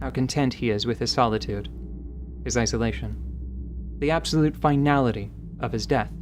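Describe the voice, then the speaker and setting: A man reading scripted dramatic fantasy narration in a measured, literary, deliberate manner. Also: medium pitch, soft background music.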